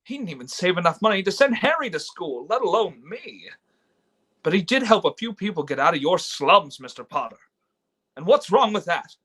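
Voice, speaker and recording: A man's voice: high voice, very animated, normal speed, bright, forceful tone, husky, exaggerated voice. A man playing an earnest, indignant young idealist who stammers, in a live radio play reading. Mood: indignant, impassioned. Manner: stammering, impassioned. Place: remote online reading from home.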